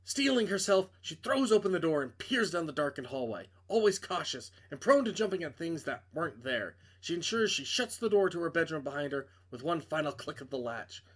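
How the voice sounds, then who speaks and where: A man's voice: a little high, very animated, brisk, hardly any pauses. A man reading a visual novel aloud as a streamer, narrating dramatically and breaking off into casual, joking asides to correct himself.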